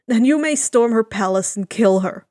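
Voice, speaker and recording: A woman voicing a decisive, tactful male sea captain with a firm, measured manner in a fan fiction reading. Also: on the high side, lively intonation, darkish tone, no room sound, studio quality, lightly acted.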